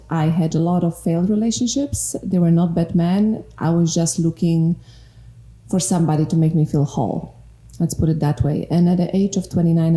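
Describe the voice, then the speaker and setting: A woman in her forties from Poland, a relationship coach speaking as a guest in a casual podcast chat, earnest and quick to laugh. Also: deep voice. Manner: warm, earnest, laughs often. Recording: echoey room.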